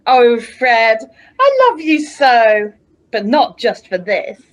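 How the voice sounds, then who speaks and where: A woman plays a doting young wife in a radio play. She is sweet and gushing, speaking slowly in a highish, swooping voice. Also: huge pitch swings, heavily acted. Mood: adoring. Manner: gushing, drawn-out. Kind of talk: amateur radio play acting.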